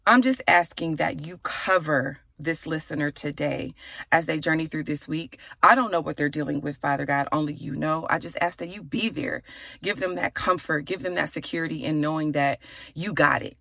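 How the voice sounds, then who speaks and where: Raspy-voiced woman podcast host in her car. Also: low voice, normal speed, no echo. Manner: chatty and warm, with "y'all" and "okay". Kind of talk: casual chat with prayer.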